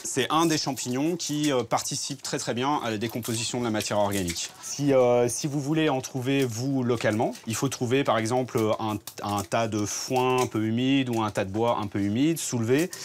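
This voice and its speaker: A man giving a casual on-site explanation of a low-tech compost filter, halting often with fillers and restarts. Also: a little hiss or hum. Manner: hesitant, many fillers and restarts.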